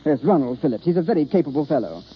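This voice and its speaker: Pompous, swooping, vain stage star. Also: male voice, a little high, animated, racing, breathy edge. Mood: condescending. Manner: grandiose, self-important. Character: vain, pompous leading stage actor, a man. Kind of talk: 1930s American radio acting. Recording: muffled old radio broadcast.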